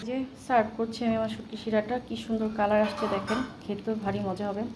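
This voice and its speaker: A woman's voice: medium pitch, little pitch movement, quick pace, echoey room. A woman with a Bangladeshi accent narrating a casual home cooking vlog, explaining each step in a chatty, colloquial way. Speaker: home cooking vlogger and mother. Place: home kitchen while cooking. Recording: clear background noise of sizzling and stirring.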